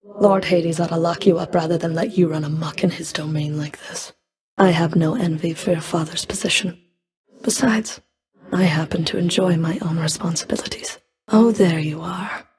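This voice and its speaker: A woman's voice: on the low side, moderate pace, darkish tone, natural voice. A woman playing a stern, sardonic underworld Fury in a video game, speaking in a cool, dry, clipped way. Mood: cold, threatening. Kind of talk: video game character voice acting.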